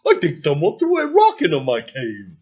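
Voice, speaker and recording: A man voicing a big, friendly, lonely male dragon in a bedtime story, loud and chatty. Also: fairly high pitch, huge pitch swings, normal speed, breathy edge, voice pushed up, exaggerated voice. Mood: cheerful. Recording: dull lo-fi recording.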